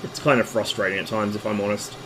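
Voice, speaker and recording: A man streaming a flight simulator, explaining how to fly in a relaxed way with hesitant fillers, his voice hoarse from a recent flu. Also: on the low side, quick pace, noisy background. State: losing his voice after a flu. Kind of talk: casual flight-sim commentary.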